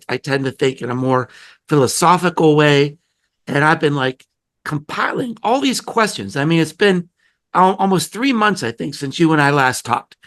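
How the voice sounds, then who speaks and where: A man hosting a podcast interview, a little hoarse, talking in a chatty, rambling way with many restarts. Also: a little high, fairly bright tone. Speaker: podcast host. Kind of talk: casual conversational interview.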